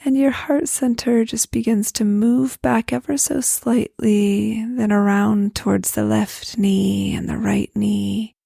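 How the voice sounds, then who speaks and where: Breathy, whispery woman leading slow meditation. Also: mid-range voice, fairly even intonation, no room sound, studio quality. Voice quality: soft, breathy, near-whisper. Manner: gentle, unhurried, spacious phrasing. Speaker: guided meditation leader, host. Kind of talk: guided prayer meditation, slow invitations.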